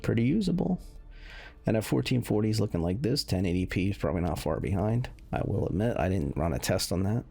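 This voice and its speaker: Low, gravelly-voiced man commentating. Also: darkish tone. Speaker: gaming video host, commentator. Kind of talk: casual off-the-cuff commentary over game benchmark footage. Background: music under the voice.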